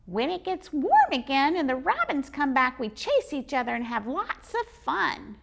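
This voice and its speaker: A woman doing Squizzy, a squeaky angry squirrel. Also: sing-song, normal speed, creaky, exaggerated voice. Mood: indignant. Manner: indignant, shouting.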